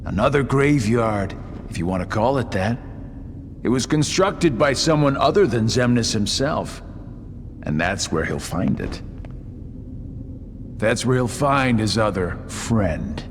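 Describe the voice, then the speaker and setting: An actor playing a sly, mocking villainous schemer in a video game cutscene, with a teasing, drawling, insinuating delivery. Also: male voice, medium pitch, lively intonation, unhurried, pauses often, in a large hall, noisy background. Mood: conspiratorial.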